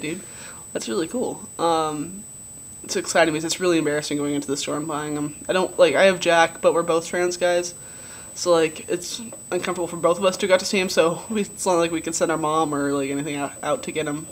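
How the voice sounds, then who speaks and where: A young man with a relatively low voice giving a casual video diary update, rambling with many ums and likes. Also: a little hiss or hum. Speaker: vlogger.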